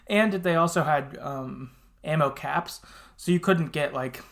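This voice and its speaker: A man's voice: a little high, unhurried, fairly bright tone. A man hosting a casual gaming podcast, talking informally and often qualifying what he says. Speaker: podcast host.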